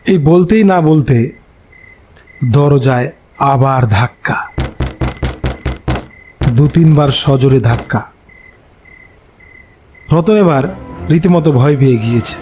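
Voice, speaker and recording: Higher-pitched male horror story narrator. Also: warm tone. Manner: suspenseful, with pauses between short phrases. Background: background music.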